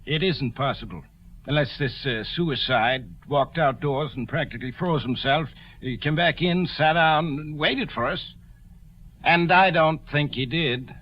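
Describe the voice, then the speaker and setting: Shrewd, higher-voiced country doctor. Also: male voice, unhurried. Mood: shrewd, deliberate. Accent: American. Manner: hesitant, methodical, folksy. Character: careful, shrewd male country coroner. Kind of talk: old-time radio drama acting. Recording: muffled old radio broadcast.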